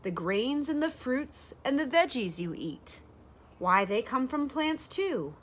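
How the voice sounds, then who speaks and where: Woman in her thirties, high-pitched voice, unhurried pace, children's read-aloud, expressive phrasing. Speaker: educator reading a picture book aloud. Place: bright sunroom with large windows, indoors.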